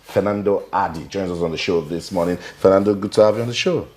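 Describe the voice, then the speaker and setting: Lively TV sports host. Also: male voice, deep voice, quick pace. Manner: lively, emphatic. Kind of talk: morning TV sports show. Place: TV studio.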